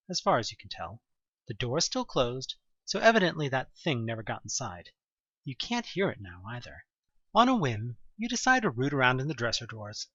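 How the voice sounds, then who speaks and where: A man narrates a horror audio play with lively swings in pitch and a bright, forceful, slightly rough voice. His delivery is dramatic and expressive, with a wry edge. The sound is dry and a little dull, like a modest home recording. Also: dry recording. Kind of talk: dramatic scripted second-person horror narration.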